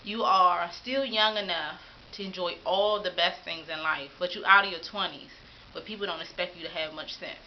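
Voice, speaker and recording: A woman's voice: lowish voice, moderate pace, dull audio, a little hiss or hum. Upbeat woman vlogger in her thirties. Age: 30s. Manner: upbeat, encouraging, folksy. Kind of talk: casual talk to camera.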